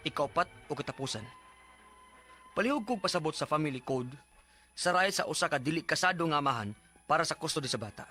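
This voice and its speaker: Exasperated young Bisaya father, high and animated. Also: quick pace, long pauses, soft background music. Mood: aggrieved. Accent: Cebuano Filipino. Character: exasperated young father telling his troubles, short-tempered. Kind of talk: Bisaya radio drama acting and narration.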